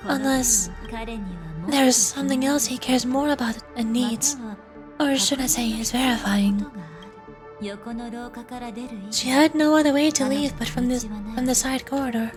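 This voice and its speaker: A woman with a high voice plays through a story game on stream. She reads the on-screen text aloud dramatically and drops into casual reactions, with game music playing behind her. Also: unhurried, long pauses. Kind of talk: live game playthrough, reading dialogue aloud and reacting. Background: video game music.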